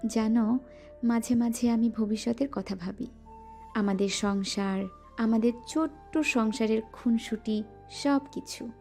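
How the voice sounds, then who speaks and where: Lady doing a playful pouty young wife, kinda high voice, soft music behind. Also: a little slow. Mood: playful, affectionate. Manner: teasing, pouting. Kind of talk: romantic audio drama acting.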